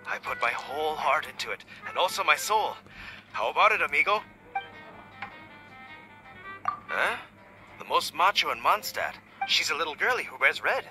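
An effusive tavern bard in a fantasy game. He is boastful and theatrical, a friendly storyteller with a highish voice over background music. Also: big pitch swings, moderate pace, pauses often, sharp tone, heavily acted, poor audio. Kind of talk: video game character acting.

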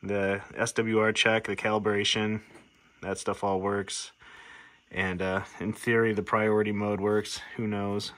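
A man's voice: lowish voice, level tone, measured pace, fairly bright tone. A man from the United States giving a casual, plainspoken, matter-of-fact demonstration as a radio repair hobbyist. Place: indoor workshop at a workbench.

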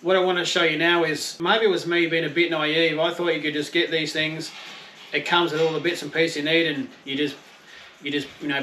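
A man's voice: a little high, bright, forceful tone, slight background noise, rough recording. A man in his thirties with an Australian accent, chatting casually and self-deprecatingly as he unboxes and reviews camping gear outdoors. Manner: laid-back, chatty, self-deprecating, swears mildly. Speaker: camping vlogger. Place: outdoor patio, then a garage.

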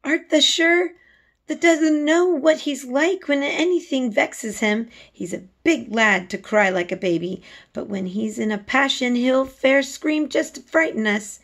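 A woman voicing an anxious, excitable young Yorkshire housemaid from a storybook, exclaiming in dialect. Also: sits high, a little slow, voice pushed up, exaggerated voice. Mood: alarmed. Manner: agitated dialect speech, exclaiming.

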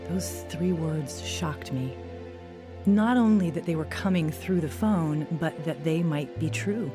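An actress playing a troubled young woman who earnestly tells her own story in an audio drama. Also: deep voice. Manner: earnest, confessional. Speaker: narrator and lead actor in audio drama. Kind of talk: first-person dramatized testimony narration. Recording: music bed under studio voice. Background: music.

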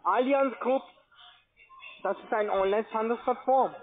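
A man's voice: extremely high pitch, measured pace. A man with a foreign accent in non-native German, making a formal, repetitive scripted sales call as a call center agent. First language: not German. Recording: tinny, band-limited phone line.

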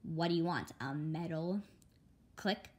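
A woman's voice: sits low, measured pace. A woman reading a comic story aloud, acting out the dialogue and speaking the sound words. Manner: animated, acts out characters' dialogue.

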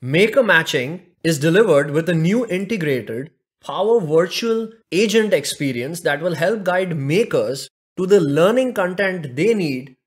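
A young man narrating a step-by-step screen-recorded software demo. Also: on the high side. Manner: steady step-by-step walkthrough. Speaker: tech tutorial presenter.

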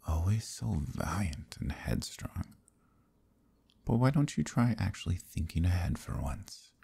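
Seductive demon king villain, ASMR roleplay: rock-bottom pitch, breathy, smug and quietly menacing. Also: exaggerated voice. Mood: possessive, taunting. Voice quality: very deep, gravelly, breathy. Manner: slow, smug, softly threatening. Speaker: voice actor.

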